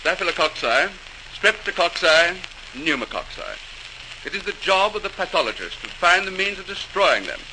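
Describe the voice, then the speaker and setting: A dedicated middle-aged man of science from a 1940s radio play. His delivery swings widely in pitch, like a lecturer thinking out loud over his work. The old transcription crackles and hisses strongly. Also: sing-song, normal speed, exaggerated voice. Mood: resolute, declaiming. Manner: lecturing aloud, muttering to himself while working.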